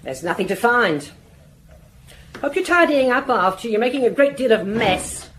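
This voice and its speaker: An actress playing a stubborn, surly concierge in a radio drama, curt and defiant. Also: female voice, fairly high pitch, sing-song, moderate pace, a bit bright, a little hiss or hum, low-quality recording. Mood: irritated.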